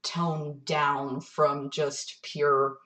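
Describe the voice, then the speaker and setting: Low-voiced woman, distant from the mic. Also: level tone, very slow, a bit bright. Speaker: podcast co-host. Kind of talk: conversational podcast discussion.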